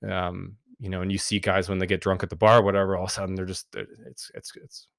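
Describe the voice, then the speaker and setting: Deep-voiced earnest man, dry close sound. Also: level tone, quick pace, creaky, a bit bright, no room sound. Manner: earnest, reflective, with frequent fillers. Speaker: podcast guest, men's group founder. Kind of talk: casual interview talk.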